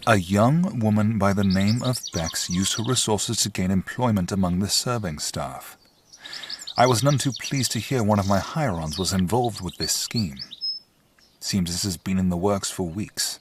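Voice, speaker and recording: A man performs a dutiful household servant in an audio drama. His low voice is formal and measured, with a dry, roundabout discretion. Faint birdsong and noise sit behind him. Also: lightly acted.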